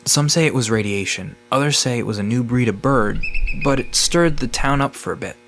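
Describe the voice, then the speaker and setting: A man's voice: medium pitch, fairly quick, over music, close to an everyday voice. A man playing an easygoing park guide in an audio drama, explaining things in a casual, conversational manner. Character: Sam, easygoing male park guide to cryptids.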